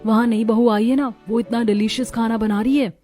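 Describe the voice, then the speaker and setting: A woman voicing an excitable rich modern granddaughter in a cartoon, mixing English into her speech. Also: a little high, a little fast, soft background music, lightly acted. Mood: amazed.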